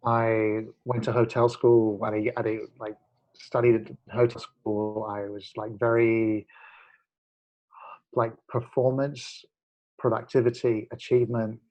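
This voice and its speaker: A man's voice: medium pitch, flat delivery, a little slow, a little mellow, highs cut off. A man in his forties, a guest telling his story earnestly and reflectively in a casual chat. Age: 40s. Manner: earnest, reflective, many fillers and restarts. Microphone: video call.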